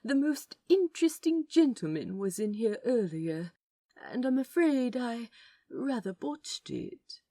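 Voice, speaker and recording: A woman voicing a gentle, forlorn man, an earnest and fussy aquarium guide with old-fashioned politeness, in an audiobook drama. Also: on the high side, very animated, slow pace, exaggerated voice. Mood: sheepish, sad. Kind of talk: audiobook character acting.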